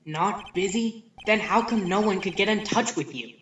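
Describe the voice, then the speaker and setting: A man's voice: sits high, moderate pace, a bit bright, highs cut off, slight background noise. A man voicing an earnest young lawyer in a video game fan dub, stammering when flustered and given to wry asides. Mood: indignant, pleading. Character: earnest young male lawyer, nervous, wryly exasperated.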